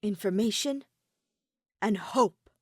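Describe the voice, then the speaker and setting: A woman's voice: medium pitch, takes it slowly, dry recording, clean studio sound. Woman acting a desperate, intense teenage boy. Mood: resolute. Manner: intense, forceful, pleading. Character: desperate, determined teenage boy in a death game.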